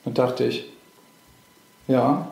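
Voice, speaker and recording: A man's voice: medium pitch, extremely slow, creaky, dark tone, reverberant. A middle-aged man from England, a native English speaker, chatting casually as an actor guest, with many fillers and restarts. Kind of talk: casual interview chat.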